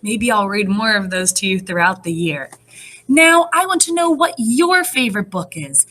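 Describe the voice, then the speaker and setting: A woman with a fairly high voice teaches first graders, reading aloud and explaining things to them. Her pitch moves around a great deal as she talks. Her manner is encouraging and clearly aimed at young children. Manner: animated, encouraging, child-directed. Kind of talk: read-aloud and lesson addressed to young children.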